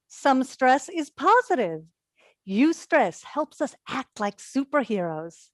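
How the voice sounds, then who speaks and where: An actress in a staged play reading, playing an earnest, anxious woman giving a presentation in a deliberate, emphatic manner. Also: high-pitched, no room sound, exaggerated voice.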